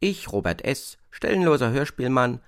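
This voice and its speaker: A man's voice: mid-range voice, big pitch swings, normal speed. A man delivering scripted narration as a radio play presenter.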